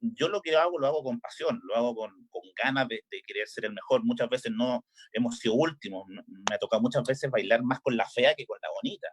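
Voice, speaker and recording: A man's voice: medium pitch, fairly quick, few pauses, husky. Man, Chilean accent, middle-aged, casual interview talk, hesitant, lots of fillers. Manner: hesitant, many filler "eh"s and restarts. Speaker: volleyball coach. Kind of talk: relaxed interview chat.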